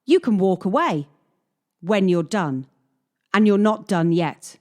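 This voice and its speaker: A woman's voice: mid-range voice, lively intonation, slow, darkish tone. A woman playing a cynical, hardened young gymnast from Kyiv in a radio play, blunt and world-weary. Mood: stern, resigned.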